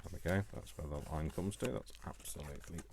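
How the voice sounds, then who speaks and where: British guy doing a chatty craft how-to, really low croaky voice, bit jokey, talks to himself. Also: animated, brisk, darkish tone, a little hiss or hum. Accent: British English. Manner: rambling, jokey, talking to himself. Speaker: craft tutorial host. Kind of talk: casual chatty craft tutorial.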